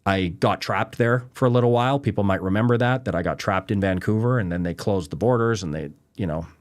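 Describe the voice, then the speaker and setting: A man with a fairly low voice talks through trading ideas in a loose, unscripted way. He stresses his points hard and keeps checking in with his listeners. He grew up in Canada. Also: brisk. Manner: conversational, emphatic, lots of "right?" and "you know". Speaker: trading show host answering viewer questions. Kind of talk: casual unscripted explainer.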